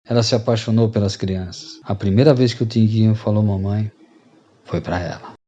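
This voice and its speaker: A man's voice: on the low side, steady tone, normal speed, warm tone, muffled recording, a little hiss or hum, lightly acted. An actor playing a repentant Brazilian rogue and former freeloader, telling his story to friends in radio drama style. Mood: rueful.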